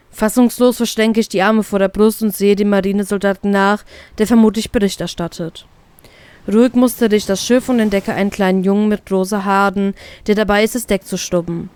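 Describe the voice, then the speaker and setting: A woman reading a first-person fan fiction story as an expressive narrator. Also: medium pitch, clean tone, dry recording.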